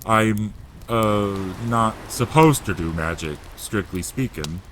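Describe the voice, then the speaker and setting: A man voicing a gruff, warm-hearted giant gamekeeper, blustery and loyal, in growling rustic dialect for a storybook audio drama. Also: lowish voice, animated, takes it slowly, slight background noise. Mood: sheepish.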